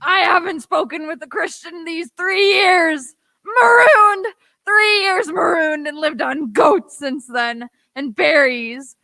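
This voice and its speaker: A woman playing a half-mad, excitable marooned old sailor man with rustic pirate speech in a radio play. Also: very high voice, slow, gravelly, dry recording, heavily acted. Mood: excited, pleading. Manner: rustic pirate speech, exclaiming, repeating himself.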